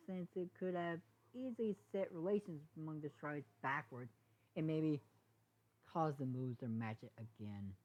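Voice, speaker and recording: A woman reading a story aloud in a halting way, often stumbling over words. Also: deep voice, mellow. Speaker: fan fiction reader. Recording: dull, lo-fi sound.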